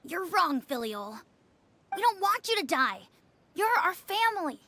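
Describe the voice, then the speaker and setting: A woman's voice: very high-pitched, very animated, measured pace, sharp tone, full character voice. A woman voicing a young child informant in a video game, earnest and dutifully loyal. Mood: earnest, grave.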